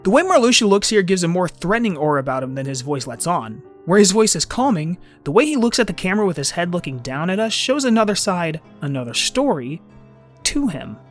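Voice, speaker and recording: A man's voice: high-pitched, very animated, brisk, faint music behind. A man narrating an informal scripted fan video essay about a game, with emphatic, swinging phrasing.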